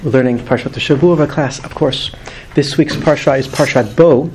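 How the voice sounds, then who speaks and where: A man's voice: medium pitch, normal speed, a little mellow, a little hiss or hum, poor audio. A man in his fifties teaching a weekly Torah class to a group, earnest and emphatic, lifting his voice on key words. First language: not English.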